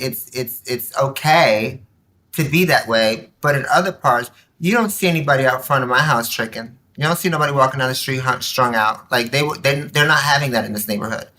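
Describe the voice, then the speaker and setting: A woman with a bright, forceful voice talks as a guest in casual conversation. She is emphatic and impassioned, often repeating a word or phrase several times before moving on. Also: very deep voice, brisk. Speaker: podcast guest.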